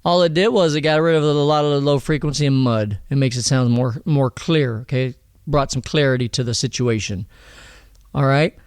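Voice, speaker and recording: Man, thin voice, smooth and clear, dry close sound, casual rambling mixing tutorial. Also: mid-range voice, moderate pace, no echo. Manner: chatty, rambling, frequent "all right?" and "okay?". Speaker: music producer teaching vocal mixing. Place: home studio.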